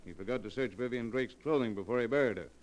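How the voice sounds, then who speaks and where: A man playing a shrewd, measured coroner. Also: medium pitch, a little mellow, natural voice. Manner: deliberate, measured. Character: shrewd male county coroner, calm and exacting. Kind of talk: 1940s radio acting.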